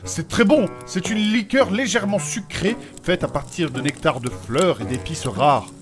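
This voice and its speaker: Man playing an excitable high-voiced fantasy companion. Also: moderate pace, music in the background, lightly acted. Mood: prim, protesting. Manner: animated, expressive. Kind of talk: comedic fantasy audio drama.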